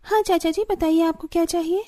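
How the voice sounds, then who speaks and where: High-pitched, expressive cartoon village woman, acted out. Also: a little fast. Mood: cheerful, caring. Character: village women, spirited young and scolding daughters-in-law and mothers-in-law. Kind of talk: Hindi cartoon story acting.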